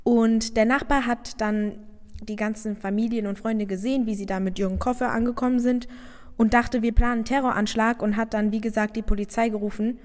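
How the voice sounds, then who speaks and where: A woman from Afghanistan speaks sincerely and evenly in a fairly high voice. A long reverb trails after her words, as in a big, echoing space. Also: flat delivery, fairly quick, darkish tone. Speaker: interviewee, mother. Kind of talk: read interview answers.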